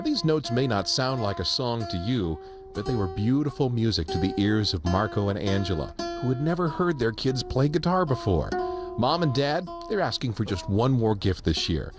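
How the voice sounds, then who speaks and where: A man's voice: on the low side, big pitch swings, normal speed. Guy narrating a tv news story, very up and down delivery, guitar music behind. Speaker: TV news reporter. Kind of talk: scripted news package narration.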